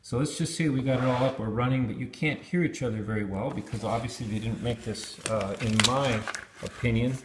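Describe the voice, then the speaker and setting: A man's voice: medium pitch, a little fast, noisy background. Man in an echoey room, explaining step by step. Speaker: product reviewer demonstrating helmet intercoms. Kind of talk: hands-on gear demonstration, unscripted. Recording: roomy, echoing sound.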